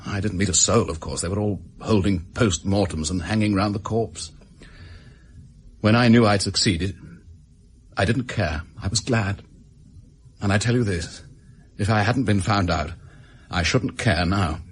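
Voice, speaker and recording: Husky, desperate Englishman confessing. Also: lowish voice, a little slow, long pauses. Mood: bitter, defiant. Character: desperate, bitter male office worker confessing to murder. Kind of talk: radio drama acting.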